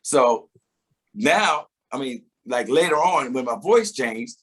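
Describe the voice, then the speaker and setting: Middle-aged guy with a husky voice, laid-back storytelling, laughs now and then, stumbles over first words. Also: medium pitch, lively intonation, slow, fairly bright tone. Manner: reflective, storytelling, laughs often, repeats words when starting. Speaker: singer and interview guest. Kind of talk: casual conversational interview.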